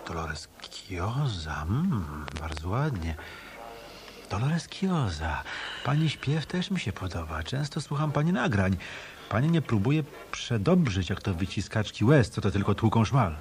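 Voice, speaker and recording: A man's voice: lowish voice, very animated, measured pace, a little mellow, slight background noise. A male actor playing a tough, wry hotel detective in a hard-boiled Polish radio play. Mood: calm, wry.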